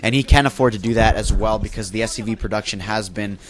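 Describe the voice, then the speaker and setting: A man's voice: on the low side, quick pace. A young man with a flu, sounding nasal and congested, giving loose play-by-play commentary on a video game match with frequent fillers and self-corrections. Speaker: esports caster.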